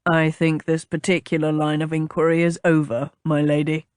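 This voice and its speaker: Woman putting on a low, gruff, pompous captain. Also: little pitch movement, moderate pace, a little mellow, no echo, studio quality, close to an everyday voice. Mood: stern, curt. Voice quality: low, growly. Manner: clipped, exasperated, formal. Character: gruff, pompous man, a stiff captain and bodyguard.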